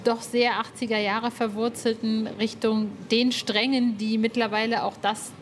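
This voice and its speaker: A woman's voice: a little high, flat delivery, moderate pace, soft background music. A woman interviewing in a casual, engaged way, searching for words as she builds her point. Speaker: podcast interviewer.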